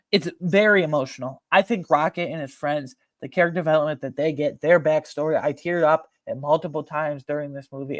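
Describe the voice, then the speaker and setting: A man hosting a film ranking video, talking informally and emphatically with slang and a halting, opinionated delivery. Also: on the high side, no room sound. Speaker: video host ranking films.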